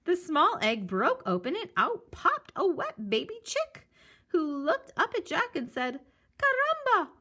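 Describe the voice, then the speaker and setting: A woman reading a children's picture book aloud in an animated storytelling style. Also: high-pitched, measured pace. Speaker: story reader.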